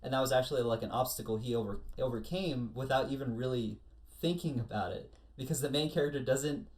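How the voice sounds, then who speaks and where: A man with a middle-pitched voice chats as a co-host in a relaxed group discussion. He recounts things informally, like someone telling friends a story. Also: fairly quick. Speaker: podcast co-host. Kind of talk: casual panel discussion.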